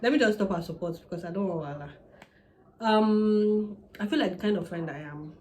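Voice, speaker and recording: A young woman in her twenties, a native Yoruba speaker with a Nigerian accent, chatting casually and candidly to camera with quick asides. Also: mid-range voice, mellow, a little hiss or hum. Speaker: vlogger. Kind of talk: casual sit-down advice chat.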